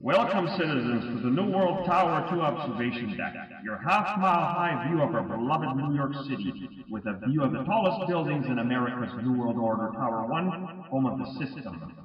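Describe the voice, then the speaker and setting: A man's voice: medium pitch, lively intonation, normal speed, natural voice. An actor playing a recorded male public address announcer who welcomes visitors. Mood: welcoming. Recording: distant dull echoey PA sound.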